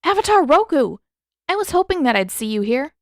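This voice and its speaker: An eager young boy hero voiced by a woman storyteller, lifted high in pitch. He is easygoing and chatty, in a dry audiobook recording. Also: sing-song, fairly quick, dry recording. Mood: cheerful, eager.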